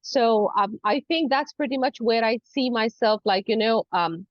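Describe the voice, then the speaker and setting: A woman's voice: on the high side, normal speed, dry recording, muffled recording. A woman from Saudi Arabia who speaks English as an additional language, answering conversationally as an interview guest and often saying "you know" as she explains earnestly. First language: not English. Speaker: guest, university lecturer in academic English.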